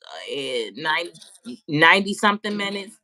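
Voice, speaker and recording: A woman with a raspy voice hosting a casual livestream, loud and teasing. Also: sits low, big pitch swings, normal speed. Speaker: livestream host.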